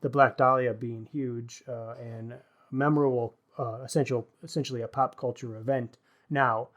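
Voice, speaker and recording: A man's voice: mid-range voice, fairly even intonation, normal speed. A man co-hosting a podcast, talking through books in a casual, reflective way with literary wording and some hesitant phrasing. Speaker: podcast co-host discussing books.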